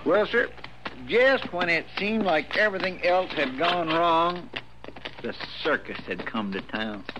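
Radio western role: garrulous, eager deputy, countrified, high male voice, noisy vintage sound. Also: expressive, measured pace. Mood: earnest, coaxing. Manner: rambling, countrified, deferential. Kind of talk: 1950s radio western acting. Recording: dull, noisy old radio recording.

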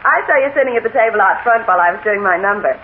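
An actress playing a breezy, flirtatious nightclub performer in a 1940s radio drama, playful and coquettish. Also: female voice, mid-range voice, animated, very fast, natural voice. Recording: tinny, narrow old radio with faint noise.

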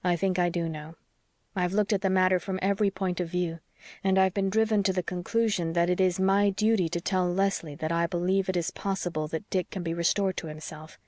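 A woman voicing an earnest, stubborn young male doctor who reasons in a measured, formal way, as in an audiobook. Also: lowish voice, darkish tone, no room sound, studio quality, lightly acted. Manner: measured, formal, slightly stiff. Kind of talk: audiobook character dialogue.

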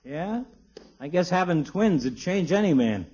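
An actor playing a talkative, cheeky small-town barber in a 1950s radio comedy, slangy and folksy. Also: male voice, a little high, animated, unhurried, warm tone, a little hiss or hum. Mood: wry. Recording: dull, muffled old radio recording.

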